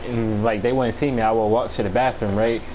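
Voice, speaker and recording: An American man chatting casually as he tells a story, with slangy, profane and halting delivery. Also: on the low side, fairly even intonation, a little mellow, slight background noise, poor audio. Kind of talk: casual storytelling chat among friends.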